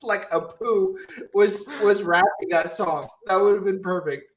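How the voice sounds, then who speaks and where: Guy from the uae, kinda high voice, excitable sweary podcast banter. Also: unhurried, a little hiss or hum. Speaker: podcast co-host. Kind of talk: casual comedic banter.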